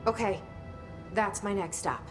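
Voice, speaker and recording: A woman's voice: mid-range voice, a little slow, over music, close to an everyday voice. A woman playing a guarded, searching young outsider heroine in a video game, speaking in an understated, introspective way. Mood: brisk. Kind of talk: naturalistic video game dialogue and inner monologue.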